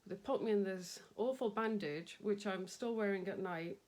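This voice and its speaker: A woman's voice: medium pitch, normal speed, darkish tone. A middle-aged woman with a slightly nasal voice, hosting a casual craft live stream, chatty and rambling, laughing often and greeting viewers by name. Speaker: craft livestream host.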